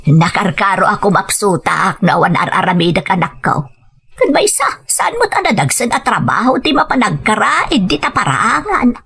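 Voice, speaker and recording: A woman playing a gentle, plaintive elderly mother with an Ilocano Filipino accent in a sentimental audio drama. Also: medium pitch, huge pitch swings, moderate pace, gravelly, breathy edge, exaggerated voice. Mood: weary, gentle.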